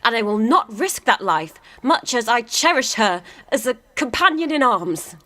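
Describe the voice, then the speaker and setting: A pragmatic, battle-wise commander, acted by a woman for a radio drama. The voice is high, and the lines come out measured and blunt. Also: sing-song, bright, forceful tone. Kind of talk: radio play acting.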